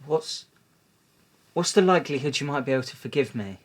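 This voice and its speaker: Actor doing a guilty young man, mumbling, evasive, middle-pitched voice. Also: animated, brisk, natural voice. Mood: pleading. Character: sheepish young man confessing infidelity. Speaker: drama graduate performing a scene. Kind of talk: stage scene acting.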